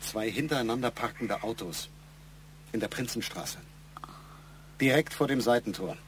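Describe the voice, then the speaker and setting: A man's voice: mid-range voice, moderate pace, natural voice. Guy playing a pushy middle-aged boss in a crime radio play, matter-of-fact and probing, bit of noise behind. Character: insistent middle-aged male boss pressing his employee. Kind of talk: German radio crime drama acting.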